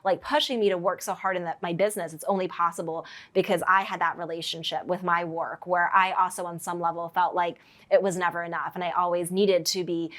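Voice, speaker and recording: Low-voiced woman telling her story. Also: fairly even intonation, fairly quick, few pauses, a bit bright. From Hong Kong. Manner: reflective, many fillers like "you know". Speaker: podcast guest. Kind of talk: candid personal storytelling.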